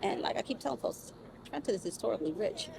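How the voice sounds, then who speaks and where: A woman's voice: mid-range voice, lively intonation, fast, vocal fry. Woman in her fifties over busy street noise. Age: 50s. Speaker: city council member. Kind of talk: conversational interview answers. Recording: street noise with people and vehicles. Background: crowd and vehicle noise.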